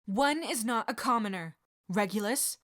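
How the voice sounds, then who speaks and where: A woman's voice: on the high side, lively intonation, moderate pace, a bit bright. Woman doing a cold haughty witch mother. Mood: disdainful. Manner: imperious, disdainful, clipped orders.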